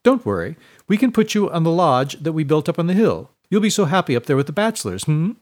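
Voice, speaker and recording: Man putting on a pompous, purring village bigwig, pitched up. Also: sing-song, fast. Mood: smug wheedling. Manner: fawning, purring, punctuated with questioning "hmm?". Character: pompous, oily male village council head, wheedling and smug.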